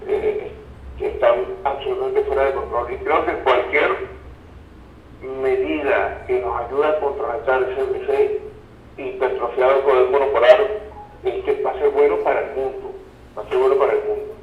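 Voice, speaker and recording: A Venezuelan man, a psychologist being interviewed, speaking in a halting, deliberate way and repeating words for emphasis. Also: medium pitch, steady tone. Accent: Venezuelan Spanish. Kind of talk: reflective interview talk. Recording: tinny phone-like line, noisy, echoey, distant.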